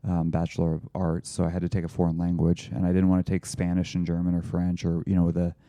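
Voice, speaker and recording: A man's voice: low-pitched, monotone, fast talker, warm tone, dry recording. A man co-hosting a church podcast, talking in a rambling, casual way with lots of restarts and fillers. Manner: rambling, frequent restarts and fillers, laughs easily. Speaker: pastor and podcast co-host. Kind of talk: casual discussion.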